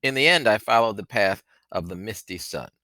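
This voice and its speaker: Deep-voiced man reading a story dramatically. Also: fairly quick, no room sound. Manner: theatrical, expressive delivery. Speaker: audiobook reader.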